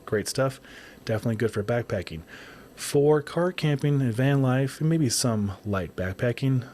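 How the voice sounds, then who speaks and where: A man hosting a podcast whose voice is raspy from a windy day of shooting, talking casually in a rambling, upbeat way. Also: medium pitch, normal speed, a little mellow. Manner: rambling, upbeat, self-correcting. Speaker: podcast host. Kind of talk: casual solo podcast update.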